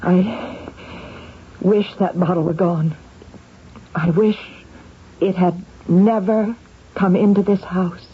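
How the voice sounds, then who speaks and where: An actress playing a bitter, scheming middle-aged wife, insistent and theatrical, in a radio drama. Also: female voice, fairly low pitch, steady tone, slow pace, long pauses, a little hiss or hum. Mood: intense wishing. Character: bitter, scheming wife of a poorly paid professor. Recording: dull, lo-fi old radio broadcast.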